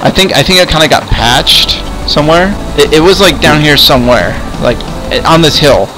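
Man playing a stammering, higher-voiced young adventurer. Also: moderate pace, over music, lightly acted, poor audio. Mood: explaining, curious. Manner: hesitant, repetitive, stammering delivery. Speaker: roleplay video host and player. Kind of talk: improvised Minecraft roleplay.

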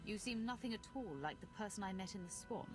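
An actress playing a self-assured female dark warrior in a video game, speaking in a cool, measured, resolute way. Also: medium pitch, natural voice. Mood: cool, dry. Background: music.

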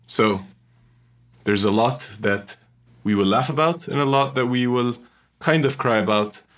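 A man hosting a podcast, talking earnestly and reflectively with an old friend and laughing now and then. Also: on the low side. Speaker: podcast host. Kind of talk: casual interview chat.

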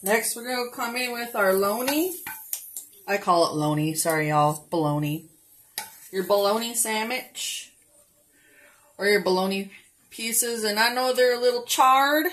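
A woman's voice: on the high side, a little slow, long pauses, fairly bright tone, a little hiss or hum. A woman casually narrating a cooking demo in her home kitchen, chatty and homey, talking straight to her viewers. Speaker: cooking vlogger.

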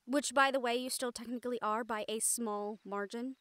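A woman's voice: fairly high pitch, steady tone, moderate pace, a bit bright, no echo, natural voice. A woman playing an exasperated but caring siren in an audio roleplay, earnest and sighing, with Spanish endearments. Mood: concerned, earnest. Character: female siren-banshee, exasperated but caring partner and team mate.

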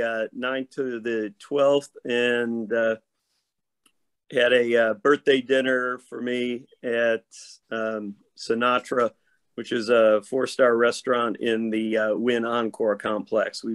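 Hoarse man in his fifties. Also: medium pitch. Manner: measured, detailed storytelling. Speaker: actor guest. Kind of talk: casual interview chat. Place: home room. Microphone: video call.

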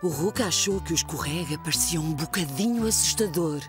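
Casting: female storyteller for children, European Portuguese accent, slightly low voice, lively intonation. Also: fairly quick, over music. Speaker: narrator.

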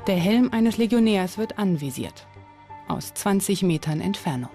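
A woman's voice: mid-range voice, expressive, normal speed, mellow, soft background music. A woman reading scripted documentary narration.

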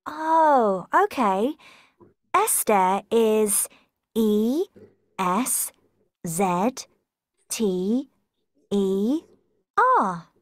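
A woman's voice: mid-range voice, lively intonation, extremely slow, long pauses, no echo, studio quality. A woman voicing a friendly eighteen-year-old girl from Hungary in a scripted textbook dialogue, carefully spelling out letters. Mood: plain.

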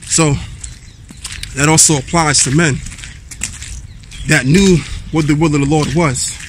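A man's voice: fairly high pitch, fairly even intonation, measured pace, slight background noise. A man in his thirties preaching casually to his camera while walking through a wooded park, reading scripture aloud between loose remarks with fillers. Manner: conversational preaching with fillers, reads verses aloud. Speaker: street preacher vlogger. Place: outdoors in a wooded park path. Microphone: handheld camera at arm's length while walking.

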